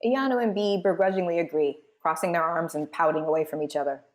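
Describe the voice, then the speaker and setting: A woman hosting as a voice director, narrating and explaining in a clear, articulate way. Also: fairly low pitch, fast. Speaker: voice director and host.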